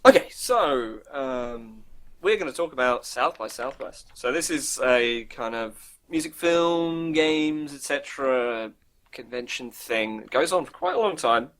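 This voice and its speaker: A man's voice: mid-range voice, animated, unhurried, a bit bright. A man co-hosting a casual, unscripted gaming podcast, informal and jokey, with loose language. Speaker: co-host presenting video game news.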